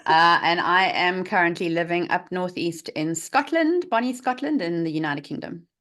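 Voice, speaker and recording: A woman in her forties with a South African accent, speaking as an interview guest. Her voice sits low, and she tells her story frankly with plenty of "um"s and "uh"s. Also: lively intonation, moderate pace, fairly bright tone. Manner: candid, storytelling, many fillers. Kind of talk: interview over video call.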